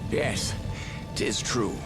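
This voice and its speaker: An actor playing a scheming sorcerer villain, haughty and taunting, in a dubbed game cutscene. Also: male voice, very slow, loud music behind the voice. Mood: smug. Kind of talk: English dub game cutscene acting.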